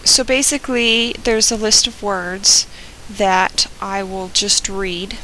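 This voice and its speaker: A young woman from Georgia with a softened Southern accent, chatting casually through an accent tag video with frequent "um" fillers. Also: medium pitch, steady tone, takes it slowly, a little hiss or hum, poor audio. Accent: Southern US, softened by years in Oregon. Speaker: vlogger.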